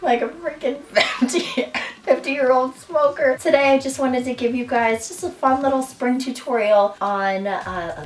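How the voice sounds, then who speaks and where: A hoarse young woman in her twenties, a chatty beauty vlogger opening a makeup tutorial with a bubbly manner. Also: high-pitched, roomy sound, far from the mic. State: hoarse from bronchitis.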